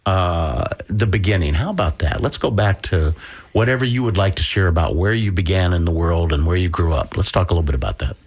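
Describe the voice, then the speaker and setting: A man with a deep voice co-hosts a conversational radio show from a studio. He muses aloud and gently prompts his guest. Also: expressive, brisk. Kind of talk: conversational interviewing.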